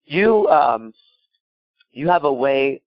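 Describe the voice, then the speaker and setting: A man's voice: mid-range voice, animated, takes it slowly, dry recording, muffled recording. A man from Iran giving improvised advice as a call-in show host, emphatic and blunt, repeating himself and asking rhetorical questions. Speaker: podcast host and coach.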